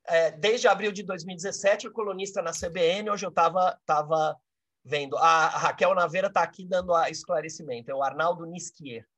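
High-pitched Brazilian man hosting an interview. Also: fairly quick, fairly bright tone. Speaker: interview host. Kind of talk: introducing and questioning a guest.

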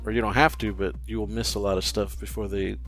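Male co-host, slightly low voice, informal and matter-of-fact chat over faint music. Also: level tone, fast, fairly bright tone. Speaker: podcast co-host. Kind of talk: casual podcast chat. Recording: background music under the voice.

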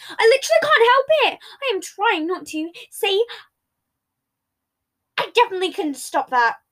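Defensive salty liar boyfriend voiced by a woman, uneasy, kinda sarcastic, high pitched, audio drama. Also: sing-song, normal speed. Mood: salty, arguing. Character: cornered, defensive, salty man, a habitual liar. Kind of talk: dramatic audio story character.

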